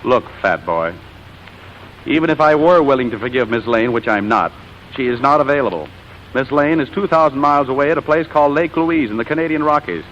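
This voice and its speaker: An actor playing a proud, sarcastic stage performer who is quick to dodge and snap back, in 1940s radio drama style. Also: male voice, mid-range voice, lively intonation, fairly bright tone, a little hiss or hum, natural voice. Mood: bitter. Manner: sarcastic, wisecracking. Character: proud, evasive male stage performer, sour and fast-talking. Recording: dull old radio recording, music behind.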